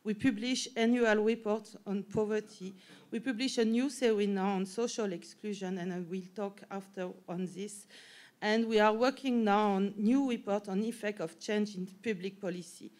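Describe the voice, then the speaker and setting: French accented woman academic speaker, halting, restarts mid sentence, mid pitch voice. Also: fairly even intonation, darkish tone. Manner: halting, frequent restarts and self-corrections. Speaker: professor and panel speaker. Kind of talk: academic conference talk.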